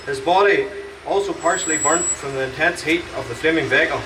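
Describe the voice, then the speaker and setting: A young man reading a prepared statement in a measured, grave way. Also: a little high, far from the mic, background noise. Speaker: victim's relative speaking.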